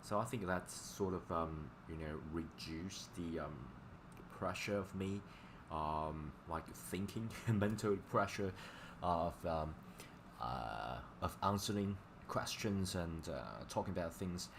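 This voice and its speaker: Deep-voiced, halting male streamer, native Chinese speaker. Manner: halting, repetitive delivery. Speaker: language teacher live-streamer. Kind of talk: casual livestream chat. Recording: clearly noisy livestream audio.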